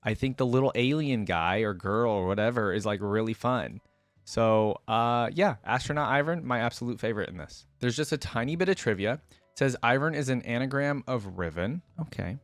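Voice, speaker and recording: A man's voice: mid-range voice, lively intonation, moderate pace, dry recording, soft background music. A man hosting a reaction video in a casual, enthusiastic, informal way, commenting and reading aloud.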